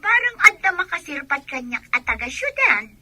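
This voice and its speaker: A woman playing an ambitious, stubborn young village woman in a radio drama, with an Ilocano accent and an insistent, husky delivery. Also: high voice, big pitch swings, moderate pace, pushed and bright, full character voice. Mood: determined.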